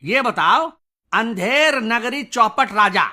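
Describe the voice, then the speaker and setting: A man's voice: very high-pitched, animated, slow, sharp tone, full character voice. A man voicing an exasperated, scolding male schoolteacher in a comedy cartoon. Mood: stern, baffled. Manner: stern, scolding. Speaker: teacher. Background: music.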